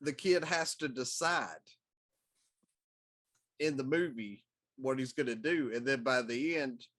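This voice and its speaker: A man's voice: medium pitch, a little slow, fairly bright tone. A middle-aged man talking casually as a talk show co-host, meandering through his opinions. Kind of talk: casual chat.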